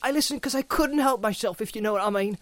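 A man's voice: high-pitched, quick pace, no room sound, pitched above the performer's own voice, lightly acted. A man reading aloud voices a timid, stammering country gardener who speaks in a rustic, pleading way. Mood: eager. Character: timid rustic male gardener, anxious and eager.

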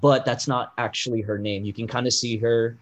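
A man co-hosting a casual pop-culture podcast, explaining things at length with lots of "like" and "um" fillers, and getting loud and animated when something riles him. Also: medium pitch, brisk. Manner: rambling, heavy on "like" and "um" fillers. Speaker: podcast co-host.

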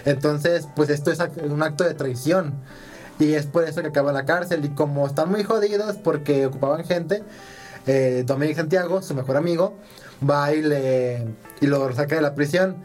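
A man's voice: on the high side, moderate pace, a little mellow. A man with a Mexican accent hosting a casual video game podcast, joking and teasing in slangy speech over background music. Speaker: podcast host. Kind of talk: casual banter about video games.

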